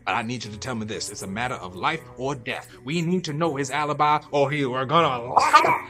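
Man playing a pushy, forceful 1930s American lawman. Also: medium pitch, fairly quick, soft background music, pitched below the performer's own voice, lightly acted. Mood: stern, coaxing. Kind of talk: old-time radio drama acting.

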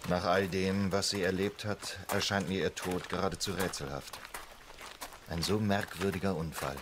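Male actor for a troubled psychoanalyst in radio drama: low voice, formal, courteous, measured. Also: steady tone, noisy background, natural voice. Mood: subdued, puzzled. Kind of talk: German radio drama acting.

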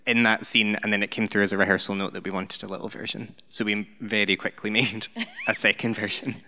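A man's voice: lowish voice, flat delivery, fairly quick, bright, forceful tone. A man on a theatre panel, answering a question briefly and matter-of-factly. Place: theatre stage.

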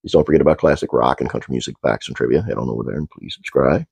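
A middle-aged man hosting a casual trivia video at home, stuffed-up and congested, talking in a relaxed, halting way with many uhs. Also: very deep voice, creaky, breathy edge, no room sound. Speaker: host.

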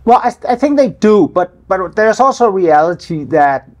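A middle-aged Danish man with a Danish accent speaks a little higher than most men. He answers questions thoughtfully, stumbling and repeating words as he goes. The sound is close lapel mic in a studio. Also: big pitch swings, darkish tone. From Denmark. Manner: halting, repeats words while thinking. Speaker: business association director, guest. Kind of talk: measured interview answers. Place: studio with city-view windows.

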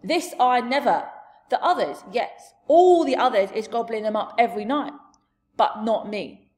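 A woman's voice: fairly high pitch, measured pace, a little mellow, lightly acted. A woman voicing a big, friendly, blustering male giant from a storybook, speaking emphatically in jumbled grammar and made-up words. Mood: protesting. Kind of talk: storybook character voice.

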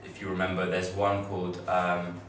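Young man, low flat voice, chatty with fillers, classroom, far echoey mic, noisy. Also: moderate pace. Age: young adult. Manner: chatty, loose, many fillers and restarts. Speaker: student on a study-abroad panel. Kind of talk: casual informal talk to students. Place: classroom with projector screen. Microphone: far camera.

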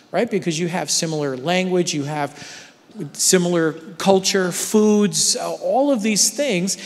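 A middle-aged man leads a Bible class from the front of a large church sanctuary. His voice sits high for a man, and he explains in a loose, conversational way, often pausing to check in with his class. A long reverb from the hall trails after his words. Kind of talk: Bible study lecture.

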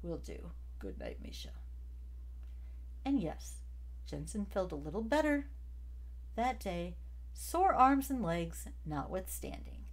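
A woman's voice: fairly low pitch, very animated, slow pace, long pauses. A woman narrating a story from a script as an amateur audiobook reader, occasionally stumbling over a word. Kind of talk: scripted fiction narration, read aloud.

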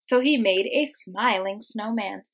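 A young woman, a teacher reading a children's storybook aloud, expressive and explaining things simply for young listeners. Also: mid-range voice, measured pace, a little hiss or hum. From United States. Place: small room at home, indoors.

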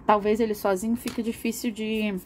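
Slightly hoarse chatty woman. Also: medium pitch, little pitch movement, fairly quick, noisy background. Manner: chatty, rambling, with laughs and restarts. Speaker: art channel host. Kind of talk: casual chat demonstrating coloring.

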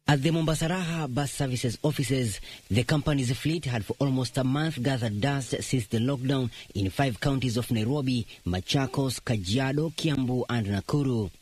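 A man's voice: mid-range voice, normal speed, a little hiss or hum. A man with a Kenyan accent reading scripted news narration as a reporter.

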